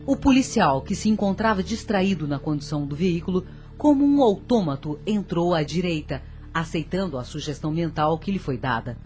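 A woman's voice: on the low side, expressive, normal speed, few pauses, a little mellow, soft background music. A woman narrating a radio drama from a script.

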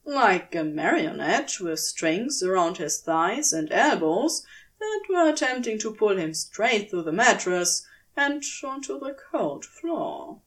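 A woman reading scripted fan fiction as narrator, in a measured, expressive storytelling manner. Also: medium pitch.

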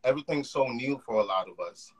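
A man's voice: mid-range voice, animated, fast talker, a bit bright, husky, rough recording. A young man hosting a mental health podcast over a call, talking with enthusiasm in loose run-on phrases. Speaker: podcast host. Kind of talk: casual conversation.

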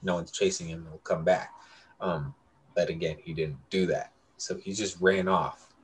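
A man's voice: deep voice, steady tone, moderate pace, slight background noise. A young adult man telling a story casually to friends, rambling and correcting himself as he goes. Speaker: podcast co-host telling a story.

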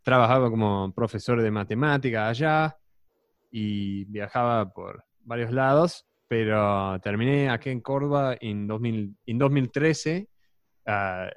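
A man from Texas, on as the guest in a relaxed chat. He speaks haltingly, stopping and restarting as he hunts for each word. His voice is recorded close and dry, with no room sound. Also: mid-range voice, clean tone, no echo. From Texas, United States. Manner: halting, searching for words. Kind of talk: casual podcast chat.

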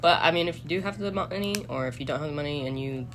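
A woman's voice: sits low, fast talker, noisy background. A young woman hosting a casual interview, laughing often and teasing her guest. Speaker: host.

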